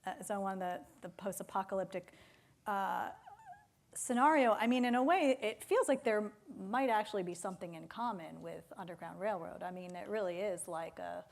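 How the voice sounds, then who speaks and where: Female interviewer, medium pitch. Conversational, feeling her way through each thought. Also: moderate pace, gravelly. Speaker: interviewer, event moderator.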